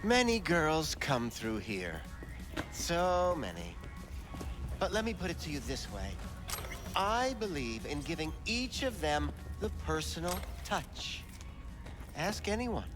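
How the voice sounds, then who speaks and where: An actor playing a sleazy, lecherous back-alley implant doctor in a video game, with an oily, leering, theatrical delivery. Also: male voice, fairly high pitch. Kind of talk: video game cutscene acting.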